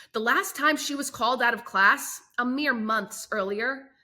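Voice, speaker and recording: Bright-voiced woman reading a story aloud. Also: on the high side. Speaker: book channel host reading aloud. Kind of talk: expressive children's book read-aloud.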